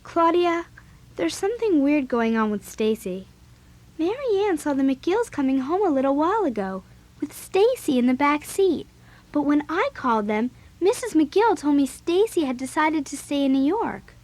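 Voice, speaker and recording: A woman's voice: sits high, sing-song, normal speed, darkish tone. A young female actor playing an outspoken, chatty preteen girl in a children's audio drama. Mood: earnest. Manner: blunt, eager, chatty. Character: outspoken preteen girl club leader and storyteller.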